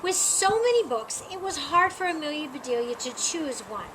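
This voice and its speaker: Animated high-voiced woman reading a storybook. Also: noisy background. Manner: animated, emphatic, drawn-out key words. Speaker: storytime reader. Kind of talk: expressive read-aloud of a children's picture book.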